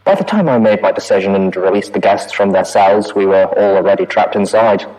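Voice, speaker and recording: A man's voice: sits low, a little fast, a little mellow, poor audio. A man voicing a frightened male prison staff pony, trapped and pleading, heard as a recorded audio log. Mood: grim, fearful. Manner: fearful, pleading, rising to panic. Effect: audio log playback.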